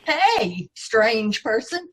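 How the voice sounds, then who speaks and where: A woman's voice: a little high, very animated, very slow, a bit bright. A woman, one of the cast, chatting casually over a distant-sounding video call with chatter behind her. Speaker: cast member. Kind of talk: casual chat.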